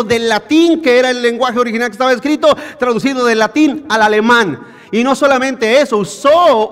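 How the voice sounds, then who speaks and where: High-pitched preacher in an echoey hall. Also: male voice. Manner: preaching, addresses listeners as brothers, rhetorical questions. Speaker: pastor. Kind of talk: sermon. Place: church in Bakersfield, California.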